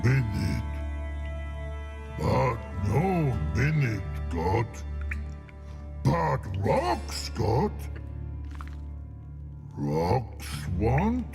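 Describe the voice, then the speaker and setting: A man voicing a slow-witted, gentle troll in a video game, talking in halting, broken grammar. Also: mid-range voice, huge pitch swings, creaky, over music, heavily acted. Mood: simple, friendly. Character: slow-witted, gentle male troll who guards a vault. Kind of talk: video game character acting.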